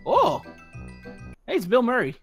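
A man's voice: extremely high pitch, wildly swooping pitch, measured pace, soft background music. A man joining in casual roleplay banter as a co-player in a gaming video.

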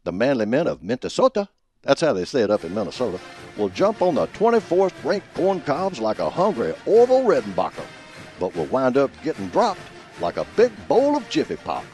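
A man performing as a folksy, pompous old football professor, giving grandiloquent scripted comic radio commentary in a husky voice. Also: mid-range voice, huge pitch swings, a little fast, a little mellow, faint music behind. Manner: folksy, grandiloquent alliterative delivery. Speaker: host of a college football prediction show.

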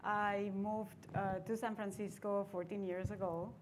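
A woman's voice: mid-range voice, little pitch movement, unhurried. A woman from Mexico tells her story on a conference panel, seated with a lapel mic. She talks in a chatty, easygoing way, slipping small laughs between phrases. A faint ambient hum runs underneath. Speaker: venture capitalist panelist. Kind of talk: panel discussion. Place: conference stage seated in armchair.

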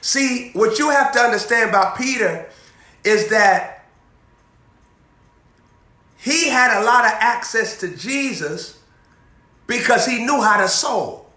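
A young male preacher delivers an animated sermon, repeating key phrases and quizzing his congregation. His voice sits high and sharp. The sound carries the echo of a bare indoor room. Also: takes it slowly, pauses often. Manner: animated, repetitive preaching with call-and-response questions, moving around. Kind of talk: energetic sermon. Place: indoor room in front of a banner backdrop. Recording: echoey room.

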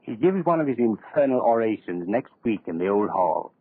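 Casting: grandiose villain plotting to rule, low husky voice, soft menace, 1930s radio melodrama. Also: male voice, sing-song, normal speed, no echo, exaggerated voice. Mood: smug menace. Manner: pompous, menacing, soft-spoken oratory. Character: suave, power-hungry male dictator-in-waiting, grandiose schemer. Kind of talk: 1930s radio horror acting. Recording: muffled old 1930s radio recording.

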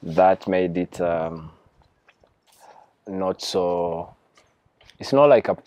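A man's voice: sits low, fairly even intonation, very slow, a little mellow, no echo. A young man from Rwanda, a gym owner, speaking in an interview, hesitant and thoughtful with many restarts. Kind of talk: casual interview. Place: outdoor rooftop terrace.

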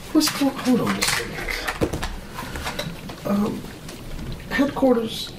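Slow-talking mad scientist played by a man, roomy sound. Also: high-pitched. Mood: puzzled, annoyed. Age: middle-aged. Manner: rambling, muttering, repeats words. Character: earnest, easily flustered military mad scientist, middle-aged man. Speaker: ASMR roleplayer. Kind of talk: ASMR roleplay, improvised monologue. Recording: roomy, distant mic with clear noise.

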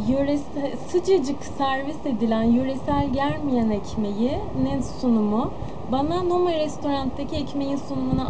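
High-voiced woman reviewing a restaurant. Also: very noisy. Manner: measured, descriptive, with hesitant fillers.

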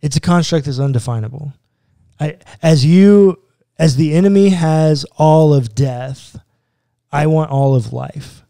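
A man in his thirties, a pastor, earnestly explaining a Bible passage step by step in a podcast conversation, close to a boom mic in a small studio. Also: measured pace, vocal fry, warm tone, no room sound. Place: small studio room with bookshelves.

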